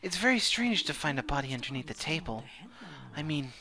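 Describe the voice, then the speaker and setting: A man in a casual, jokey amateur game dub with friends, loose and quick to swear. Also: a little high, big pitch swings, pushed and bright. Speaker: amateur fan dubber.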